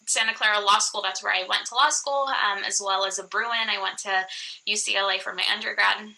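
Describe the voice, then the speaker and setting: A woman's voice: mid-range voice, steady tone, brisk, creaky, bright, forceful tone, rough recording. A woman, an immigration attorney, presenting a webinar in a chatty way with many "um" fillers and restarts. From born in Iraq, raised in the US.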